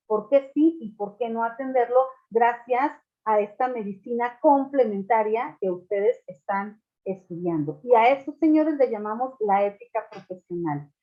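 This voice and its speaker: High-voiced Mexican woman teaching online. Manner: explanatory, rhetorical questions to students. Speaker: law teacher. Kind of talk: online class lecture. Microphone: video call. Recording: dull lo-fi video call audio.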